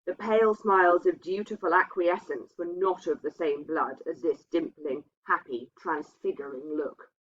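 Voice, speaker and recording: A woman's voice: on the low side, steady tone, normal speed, few pauses, slightly rough. A woman reading a literary ghost story aloud as a narrator. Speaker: reader. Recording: dull, muffled lo-fi sound.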